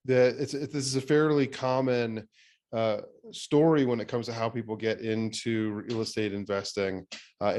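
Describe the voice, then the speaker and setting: A man hosting a real estate podcast interview, talking in a relaxed way with many fillers and restarts. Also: lowish voice, smooth. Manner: thinks aloud with fillers and restarts. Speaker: podcast host. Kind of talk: conversational interview.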